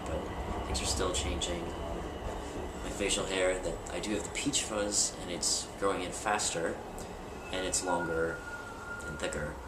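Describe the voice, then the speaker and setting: Young man, very low voice, candid rambling vlogger, roomy distant home-camera sound with noise. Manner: candid, rambling with fillers and restarts. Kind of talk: casual personal vlog. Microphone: camera mic at a distance.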